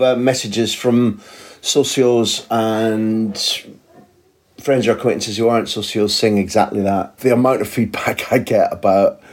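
Wry, rambling man with a mellow husky voice. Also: fairly low pitch. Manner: rambling, wry and reflective with asides. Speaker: football journalist and co-host. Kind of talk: casual podcast chat.

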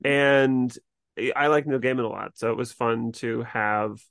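A man's voice: medium pitch, fairly even intonation, unhurried, vocal fry, fairly bright tone, dry recording, studio quality. A man from New England with an American accent, hosting a casual discussion in an easygoing, reflective way. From New England, United States. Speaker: podcast host. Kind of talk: casual chat.

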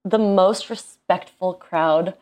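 Expressive American woman in her thirties chatting. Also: on the low side, slow. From small town in Indiana, United States. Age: 30s. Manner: expressive, self-deprecating, slangy. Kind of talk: casual chat.

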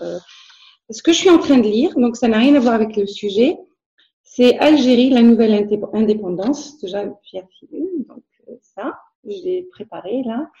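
A woman's voice: mid-range voice, dark tone, reverberant, muffled recording. A woman whose first language is Arabic, speaking in a casual interview as a guest, hesitant, with many fillers and restarts.

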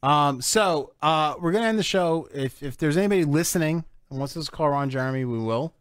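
A man's voice: on the high side, lively intonation, brisk, clear, resonant tone, dry recording, studio quality. A man hosting a casual podcast who laughs often and swears freely. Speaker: podcast host. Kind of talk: casual chat.

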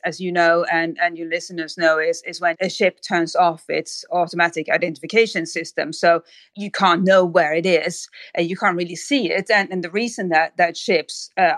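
A woman's voice: lowish voice, a bit bright, dry recording. A woman speaking as an expert guest in an interview, explaining carefully with hesitant phrasing and repeated words. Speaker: expert guest, writer and analyst.

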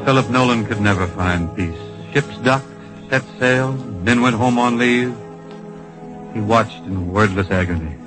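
An actor narrating a tragic radio drama in a solemn, poetic, storytelling manner. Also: male voice, lowish voice, a little slow, natural voice, poor audio. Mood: somber. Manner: lyrical, grave storytelling. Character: solemn, poetic male narrator of a patriotic tragedy. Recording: vintage radio recording with music behind.

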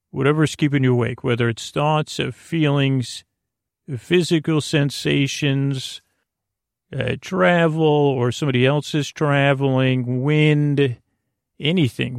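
A man with a creaky voice hosting a sleep podcast, rambling in a lulling, silly, meandering way with halting self-corrections. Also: medium pitch, no echo, clean studio sound. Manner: lulling, soothing. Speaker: podcast host.

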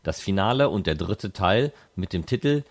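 Lively low-voiced male podcast host. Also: normal speed. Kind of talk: scripted podcast announcements and previews.

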